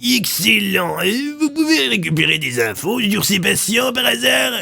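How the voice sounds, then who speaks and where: An actor playing a scheming, falsely courteous army colonel in an audio drama, coaxing and insinuating. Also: male voice, sits high, sing-song, normal speed, gravelly, pushed and bright, soft background music, full character voice. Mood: probing. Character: scheming, ambitious male army colonel, slyly coercive. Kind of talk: French audio fiction acting.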